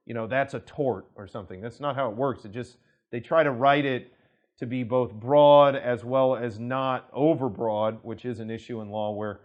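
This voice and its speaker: A man's voice: mid-range voice, lo-fi sound. A man, a law firm director, explaining legal concepts to camera in a conversational way, with frequent restarts and asides. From United States.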